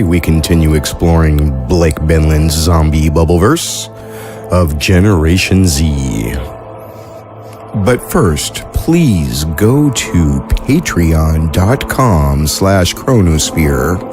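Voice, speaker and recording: A man hosting and narrating a fiction podcast in a scripted style. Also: low-pitched. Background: music.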